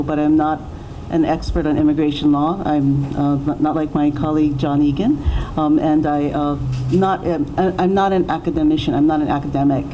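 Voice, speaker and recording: A woman's voice: sits low, noisy background. A middle-aged woman giving an informal, unscripted talk at a public forum, often restarting and correcting herself as she goes. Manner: halting, self-correcting, with many repeated starts. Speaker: panelist, attorney speaking at a public forum.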